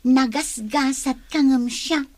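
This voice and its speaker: Young village woman, acted by a woman, fairly high voice, resolute with a plaintive edge, radio-style drama. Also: slow pace, noisy background. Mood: plaintive, determined. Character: determined young village woman wanting work and school. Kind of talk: dialogue in an amateur audio drama.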